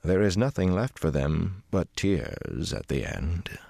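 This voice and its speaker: A man voicing an older woman sorceress who speaks slowly, in a measured and unshakably composed way. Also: deep voice, big pitch swings, vocal fry, warm tone, dry recording, studio quality, exaggerated voice. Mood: calm.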